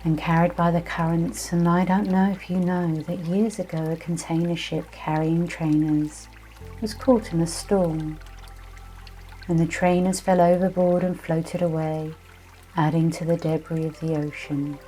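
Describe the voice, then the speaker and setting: A woman with a low-set, very mellow voice guides a meditation. She speaks gently and without hurry, leaving pauses between phrases as she narrates a calming visualization. Soft music plays faintly behind her. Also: rough recording. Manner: gentle, soothing, unhurried hypnotic delivery with many pauses. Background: soft music with trickling, dripping water.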